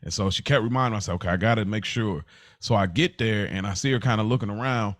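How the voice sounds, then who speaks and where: A man's voice: fairly low pitch, fast talker, a bit bright, no echo. American man chatting casually, dry close sound. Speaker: podcast co-host. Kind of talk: casual conversational podcast chat.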